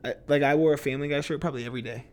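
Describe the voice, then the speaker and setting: A man's voice: fairly high pitch, steady tone, very fast, smooth. A young adult man co-hosting a casual chat, teasing bluntly and swearing freely. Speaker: podcast co-host.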